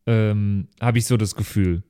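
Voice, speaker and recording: A man's voice: lowish voice, steady tone, measured pace, warm tone, clear, resonant tone. A man hosting a podcast, chatting casually in a joking, informal way. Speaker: podcast host and game master. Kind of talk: casual podcast outro chat.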